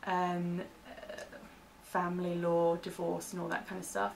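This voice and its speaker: A woman's voice: lowish voice, little pitch movement, measured pace, creaky. A British woman giving informal, loosely hedged explanations in a training interview, as the interviewee. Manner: hedging, informal explanations with "kind of". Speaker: interviewee explaining legal terms for staff training. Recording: distant mic, faint background noise.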